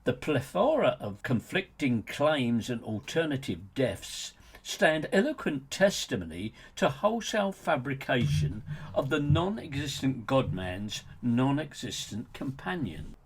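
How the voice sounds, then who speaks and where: A husky-voiced man reads a polemical audiobook at an unhurried pace. His delivery is deliberate and theatrical, with a pitch that swings widely as he leans on words with dry mockery. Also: very animated. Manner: sardonic, mocking emphasis. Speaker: author reading own book as narrator. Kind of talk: scripted polemical narration, audiobook.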